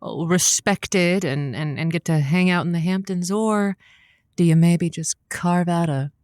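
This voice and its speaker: Feisty female call-in host acted by a woman, lowish pitch, theatrical and teasing, improvised comedy advice radio. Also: unhurried, darkish tone, clear, resonant tone, no echo, studio quality, close to an everyday voice. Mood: sympathetic.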